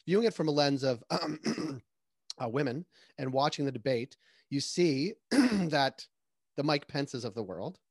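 A man's voice: a little high, animated, a little slow, breathy edge, no room sound, clean studio sound. A man hosting a casual podcast, speaking hesitantly with fillers. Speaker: podcast host.

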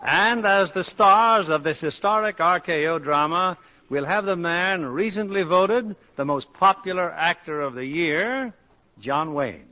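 Old radio show host guy, courteous showman, bright voice, dull vintage recording. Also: high-pitched, a little slow. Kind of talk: polished 1950s radio hosting.

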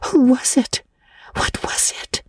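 A woman voicing a dreamy, dramatic young girl in a storybook, breathy and earnest. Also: takes it slowly, no room sound, whispered, studio quality, close to an everyday voice. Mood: frightened whisper.

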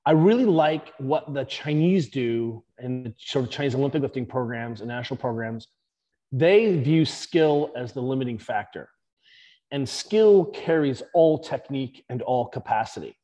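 A man, a strength and conditioning coach, explaining his views in an interview with animated emphasis. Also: medium pitch, normal speed, in a large hall, muffled recording.

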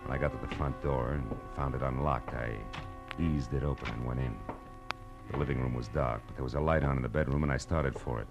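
A man's voice: very deep voice, steady tone, fairly quick. A man playing a hard-boiled, wisecracking private detective in a 1940s radio drama, wry, clipped and slangy. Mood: tense, brisk narrating. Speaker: lead actor and narrator. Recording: old radio drama with music behind.

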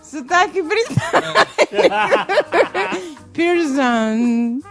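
A woman's voice: extremely high pitch, animated, extremely slow, creaky, music in the background. A woman co-hosting a podcast, telling stories in a giggly way and acting out what people said. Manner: giggly storyteller, acts out quotes. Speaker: podcast co-host. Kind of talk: casual comedic chat.

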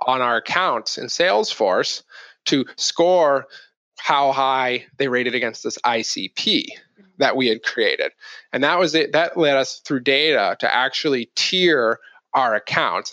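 A man's voice: medium pitch, bright, forceful tone, no echo. Dry-sounding man thinking aloud. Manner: informal, thinking aloud, self-correcting. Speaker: podcast guest, marketing executive. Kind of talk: conversational business explanation.

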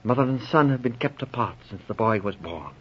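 An actor playing an earnest, cautious gentleman doctor who speaks hesitantly and confidingly in a 1940s radio drama. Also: mid-range voice, animated, brisk, husky. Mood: reluctant, confiding. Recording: muffled old radio broadcast recording.

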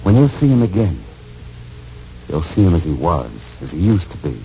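A grave, gentle military gentleman in an old radio play. His deep, dark voice swings expressively through formal, halting, earnest speeches, on a muffled vintage recording. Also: very animated, faint music behind. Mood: earnest, fervent. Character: gentle, grave older Major explaining a miracle. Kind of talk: 1940s radio drama acting.

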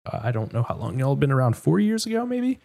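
A man's voice: mid-range voice, big pitch swings, quick pace, gravelly, warm tone. A man from Houston hosting a casual interview, rambling with lots of fillers. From Houston, Texas. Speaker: host.

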